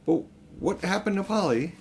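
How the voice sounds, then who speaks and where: A man's voice: sits high, animated, moderate pace, creaky, a little mellow, husky, pitched above the performer's own voice, heavily acted. A man reading the part of a blunt, worried young boy who questions and objects forthrightly.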